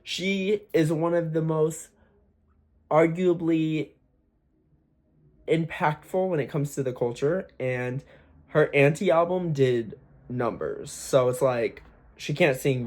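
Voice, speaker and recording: A man's voice: mid-range voice, a little mellow. Young man, casual vlog chat. Slightly forced raised voice, candid, loose with curse words. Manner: chatty, candid, swears freely, many fillers. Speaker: aspiring singer vlogger. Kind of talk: casual talk to camera.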